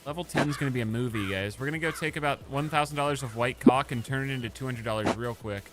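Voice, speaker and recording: A male streamer chatting casually with his live chat while playing a game, swinging from mumbled asides to loud outbursts. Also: mid-range voice, fast, over loud music. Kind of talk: casual gaming livestream chat.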